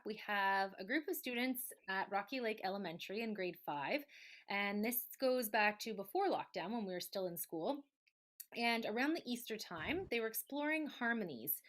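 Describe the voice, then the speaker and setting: A woman's voice: mid-range voice, a bit bright. A woman hosting a student showcase, casually introducing performances in an appreciative, encouraging way. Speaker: host of a student arts showcase.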